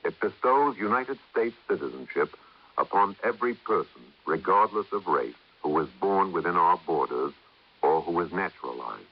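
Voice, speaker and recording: A man reading a solemn, measured public service announcement in a declamatory 1950s radio style. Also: low-pitched, animated, breathy edge. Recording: muffled, faintly hissy vintage radio recording.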